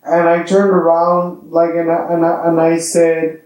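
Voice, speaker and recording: A man's voice: a little high, measured pace. A man who does stand-up comedy, talking bluntly and profanely in a casual podcast chat. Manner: blunt and profane, animated storyteller. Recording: very echoey room.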